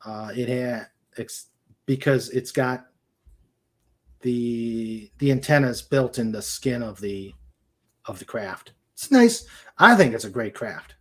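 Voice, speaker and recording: A man chatting casually as co-host of a livestream, halting and often searching for his words. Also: mid-range voice, lively intonation.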